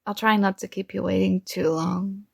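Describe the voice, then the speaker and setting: A woman's voice: mid-range voice, fairly even intonation, moderate pace, gravelly, slightly rough, no echo, studio quality. A woman acting a young roommate who is shy, teasing and smitten with a friend, in a playful, conversational ASMR roleplay. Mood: teasing, confident.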